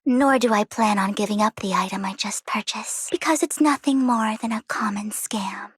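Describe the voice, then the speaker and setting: Breathy, elegant, teasing rich young lady, acted by a woman. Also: mid-range voice, close to an everyday voice. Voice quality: breathy, hushed. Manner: poised, teasing, deliberate. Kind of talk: video game character acting.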